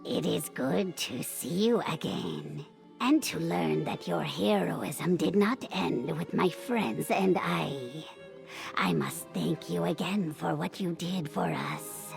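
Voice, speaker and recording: A woman voicing a solemn, gracious adventurer in a video game, measured and formal. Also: fairly low pitch, expressive, vocal fry, darkish tone, soft background music, full character voice. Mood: solemn gratitude. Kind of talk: scripted video game dialogue.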